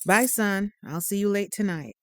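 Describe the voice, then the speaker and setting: A woman's voice: medium pitch, normal speed, noisy background, close to an everyday voice. A woman playing a firm, protective mother of a teenage boy, pointed and motherly, in a naturalistic audio drama. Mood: brisk.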